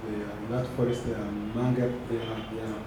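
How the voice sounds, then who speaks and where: A man from Uganda, a podcast guest, talking in a relaxed, rambling way and tagging his remarks with "eh?". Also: fairly low pitch, a little fast, very echoey, noisy background. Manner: relaxed, rambling, laughs easily, trailing tags like "eh?". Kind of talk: casual interview chat.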